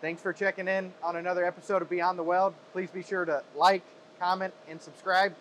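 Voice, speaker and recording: A man hosts a workshop video in a fairly high voice. He sounds upbeat and conversational, casually presenting and asking questions. Some machinery noise sits faintly behind him. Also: little pitch movement, a little fast, fairly bright tone. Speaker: video host. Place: industrial workshop, indoors. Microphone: clip-on wireless mic on shirt. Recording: clear background noise in workshop.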